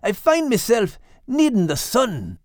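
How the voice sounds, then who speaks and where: A man's voice: very high-pitched, wildly swooping pitch, a little slow, a little mellow, no room sound, clean studio sound, pitched above the performer's own voice, heavily acted. A man voicing a troubled, earnest furry animal with a folksy, pleading manner in an audiobook. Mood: wistful. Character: troubled, earnest furry animal, male, self-doubting friend. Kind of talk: audiobook character voice.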